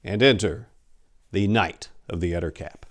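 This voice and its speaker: Male narrator, forties, lowish pitch, theatrical and deliberate, for dramatic short-story readings. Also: a bit bright. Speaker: host, author reading own short story. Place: indoors, book-lined room. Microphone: lapel mic.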